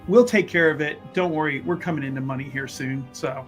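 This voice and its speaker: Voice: medium pitch, a little fast, soft background music. A tabletop game player chatting casually and improvising roleplay, emphatic and given to repeating phrases.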